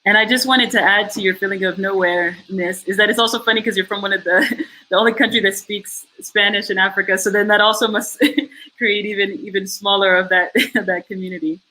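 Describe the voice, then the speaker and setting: A woman hosts a film festival Q&A over a video call. Her voice is bright and forward at an ordinary pitch. She asks her questions haltingly, with plenty of fillers and light laughter. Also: steady tone, slight background noise, low-quality recording. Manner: friendly, hesitant with many fillers and laughs. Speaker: festival Q&A host.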